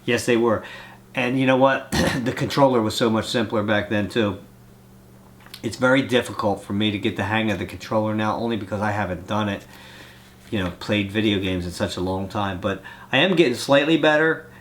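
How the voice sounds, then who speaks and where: A man's voice: fairly low pitch, fairly quick, fairly bright tone, slight background noise. Congested man chatting through a cold. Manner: rambling, meandering, chatty. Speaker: vlogger reading fan mail. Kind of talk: casual chat.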